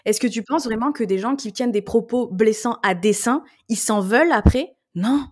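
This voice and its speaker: A woman's voice: fairly high pitch, normal speed. A woman guest on a podcast, telling stories emphatically and acting out quoted dialogue. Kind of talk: casual chat.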